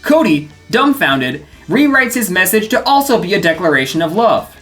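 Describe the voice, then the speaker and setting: A woman's voice: on the low side, sing-song, moderate pace, fairly bright tone, faint music behind. Dry, sarcastic woman narrating a video essay. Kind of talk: scripted narration.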